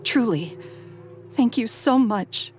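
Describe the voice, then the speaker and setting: A woman's voice: on the high side, animated, slow pace, darkish tone. A woman voicing a timid, tender young woman in a video game, hesitant and emotional. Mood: tearful relief. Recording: game music behind the voice.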